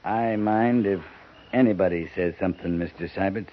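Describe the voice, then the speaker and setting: A man's voice: deep voice, normal speed, lightly acted. A man playing a stern, clipped cavalry captain. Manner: clipped, curt commands. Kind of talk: 1950s radio drama acting. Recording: muffled 1950s radio broadcast with hiss.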